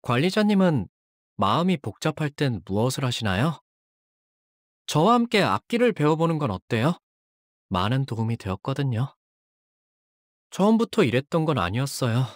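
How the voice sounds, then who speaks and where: An actor voicing a young male fighter in a video game, polite and soft-spoken with dramatic delivery. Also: mid-range voice, sing-song, moderate pace, long pauses, dry recording, clean studio sound, natural voice. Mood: polite, upbeat. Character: earnest, anxious young man, a devoted shielding fighter; tormented young male zealot who purifies with fire. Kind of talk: video game character voice lines.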